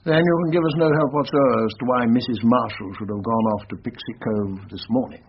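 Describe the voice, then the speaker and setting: An actor with a British accent playing a brisk, direct, matter-of-fact police investigator in a radio drama. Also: male voice, medium pitch, close to an everyday voice. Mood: probing, official. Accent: British English. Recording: muffled radio broadcast, hissy with clicks.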